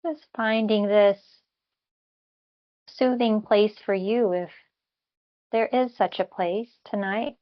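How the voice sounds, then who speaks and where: A woman meditation teacher speaks very slowly, leaving long pauses between short phrases. Her instructions are gentle and unhurried, as in a guided body scan. The recording is slightly dull and lo-fi, with her voice at a medium pitch. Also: steady tone.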